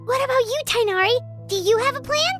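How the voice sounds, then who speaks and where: Very high and bouncy, this tiny creature companion swoops all over her range with eager, exclamatory chatter. Game music plays clearly underneath her lines. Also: lively intonation. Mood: curious. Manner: eager, exclamatory, quick to praise. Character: tiny excitable female floating companion. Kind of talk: game cutscene voice acting. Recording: game audio with background music.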